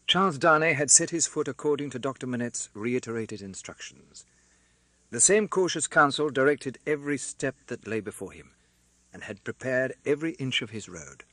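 A man narrating a classic novel as an audiobook, with a theatrical, expressive delivery. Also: mid-range voice, dry recording.

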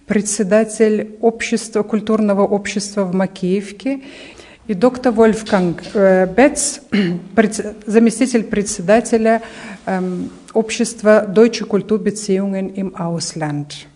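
A woman in her fifties gives interview answers in a mellow, mid-range voice. She pauses and searches for words as she speaks into a reporter's handheld microphone indoors. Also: mid-range voice. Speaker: association press spokeswoman being interviewed. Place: indoor art gallery.

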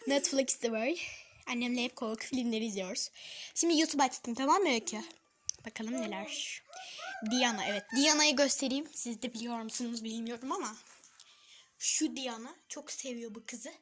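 A woman's voice: a little high, normal speed. A woman chatting casually in a home vlog, turning between her viewers and a small child. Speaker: family vlogger filming a young child. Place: at home, in front of a television. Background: music.

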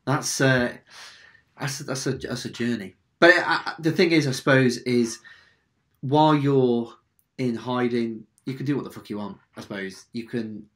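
A man's voice: mid-range voice, moderate pace. A man hosting an interview over a video call from a room at home, speaking hesitantly with many restarts. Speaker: interview host. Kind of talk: casual interview.

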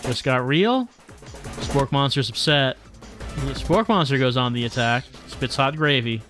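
A male streamer with a mid-pitched voice reads a visual novel aloud. His delivery is wry and deadpan, slipping between game text and his own commentary. Game music plays clearly behind him. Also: unhurried.

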